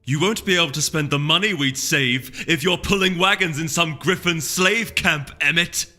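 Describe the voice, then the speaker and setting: A man voicing a fiery, combative unicorn duchess in a storybook reading, forceful, impatient and scornful. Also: a little high, normal speed, faint music behind, pitched above the performer's own voice. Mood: angry, scornful. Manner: forceful, argumentative.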